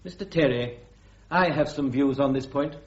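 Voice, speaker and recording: An actor playing a stern, peremptory senior officer presiding over a court-martial in a radio drama, with a British accent and a pompous, curt manner. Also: male voice, medium pitch, moderate pace, natural voice. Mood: stern, commanding. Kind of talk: full-cast radio drama acting. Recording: muffled, roomy radio recording.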